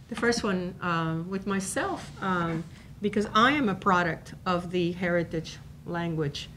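A woman's voice: lowish voice, fairly bright tone, a little hiss or hum. A woman in her sixties, born in Cuba, giving a conversational university talk at a table microphone, with many fillers and restarts. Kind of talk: informal lecture on a panel. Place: university seminar room.

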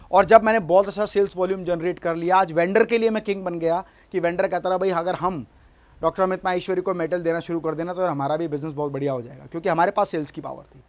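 Business coach, middle-aged man, slightly high voice, emphatic studio delivery on a lapel mic. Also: darkish tone. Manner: emphatic, gestures at a screen, asks "है ना?". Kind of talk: motivational business lesson. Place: studio in front of a large screen.

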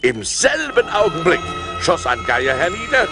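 A man's voice: high-pitched, measured pace, music in the background. An actor playing a fearful yet resourceful seafaring adventurer, telling his perils in a theatrical, suspenseful way for an audio play. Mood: tense, excited. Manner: theatrical, suspenseful, expressive delivery. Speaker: narrator and lead actor. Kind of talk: dramatic storytelling in a German audio play. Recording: vinyl record transfer.

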